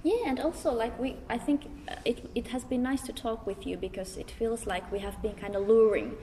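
A woman's voice: fairly high pitch, brisk, mellow. Hesitant woman in a noisy reverberant hall. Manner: hesitant, searching for words. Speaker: interviewed artist. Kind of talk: casual, reflective interview talk.

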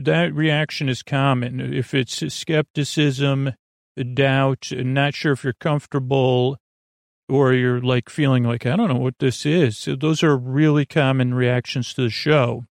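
A man hosting a podcast in a whimsical, meandering monologue, halting and often repeating or correcting himself, with a creaky, gravelly voice. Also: mid-range voice, no echo, studio quality. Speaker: podcast host. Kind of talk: rambling, whimsical bedtime monologue.

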